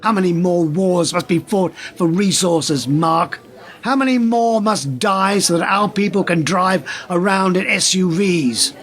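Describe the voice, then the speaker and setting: An actor in a radio play playing an impassioned whistleblower, speaking in an emphatic, conspiratorial way. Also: male voice, high-pitched, unhurried, a bit bright. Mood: exasperated, impassioned. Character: impassioned male whistleblower pressing a wary journalist.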